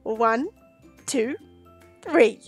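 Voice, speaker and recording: A woman in her forties reads a picture book for children. Her voice is high and she takes her time, shaping each phrase with deliberate expression. There is faint music behind her. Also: husky. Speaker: storytime host and reader.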